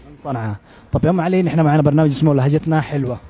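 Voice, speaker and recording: A man with a Yemeni accent interviewing passersby in the street with brisk, upbeat questions. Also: fairly high pitch, warm tone. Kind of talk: street vox pop interviews.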